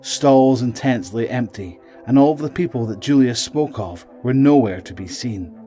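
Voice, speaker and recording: A man's voice: medium pitch, expressive, moderate pace, warm tone, faint music behind. A man reading scripted narration for a horror audio drama.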